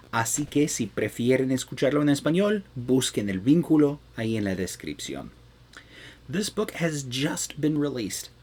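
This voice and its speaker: A man reading to children as a storyteller, expressive, with dramatic emphasis. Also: mid-range voice, breathy edge.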